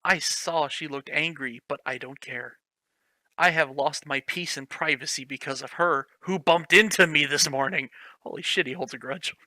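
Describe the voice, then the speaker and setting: A young man with a very bright, sharp, slightly high voice reads a fanfic aloud for laughs. He is sarcastic, swears freely and keeps breaking off to mock the writing. It sounds dry and close, with no room sound. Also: moderate pace, no echo. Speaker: host reading a fanfic aloud. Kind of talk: comedic reading with commentary.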